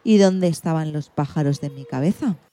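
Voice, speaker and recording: A woman's voice: deep voice, normal speed, warm tone, lightly acted. A woman playing an earnest, dreamy schoolgirl in a radio play of a children's storybook. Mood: reflective.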